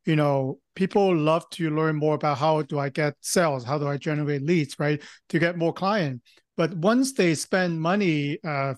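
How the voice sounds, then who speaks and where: A man from Hong Kong, a Cantonese native speaker, talking casually as a podcast guest, often pausing and restarting. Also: fairly high pitch, no echo, studio quality. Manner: halting, many fillers and restarts. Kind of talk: casual interview talk.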